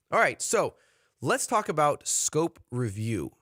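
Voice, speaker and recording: A man's voice: on the high side, sing-song, slow, pushed and bright, dry recording, studio quality. A man leading an online study session, earnest and encouraging, with frequent fillers. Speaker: study group leader.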